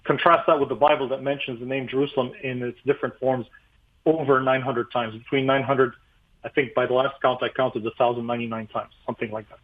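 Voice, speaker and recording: A man's voice: medium pitch, flat delivery, fast. Brief: male pastor, Arabic native speaker, lecturing guest, tinny phone-line sound. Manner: emphatic, lecturing, many fillers. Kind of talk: radio interview, explaining history.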